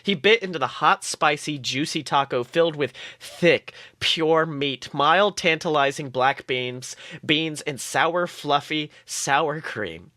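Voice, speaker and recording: A man hosting a show, reading comic fan fiction aloud in an expressive, playful storytelling way. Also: on the high side, no room sound, studio quality. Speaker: podcast host.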